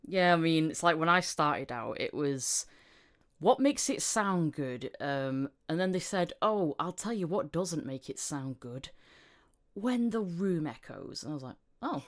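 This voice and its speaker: A woman's voice: deep voice, big pitch swings, unhurried. A woman podcast host and voice actor chatting casually, telling things in an anecdotal way. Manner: anecdotal, acting out reported conversations.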